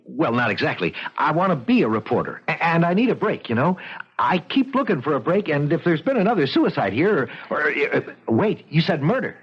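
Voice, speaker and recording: An American actor playing a nervous, eager would-be reporter in his thirties in a 1970s radio drama, stammering in a husky voice. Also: a little high, fairly quick. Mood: eager, pushy. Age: 30s. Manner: jittery, stammering, animated. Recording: dull vintage radio broadcast.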